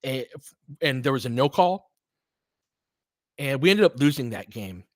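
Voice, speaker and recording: A man's voice: medium pitch, sing-song, measured pace, no echo, clean studio sound. A man doing informal, partisan live basketball commentary as a fan host, talking casually with some mild swearing.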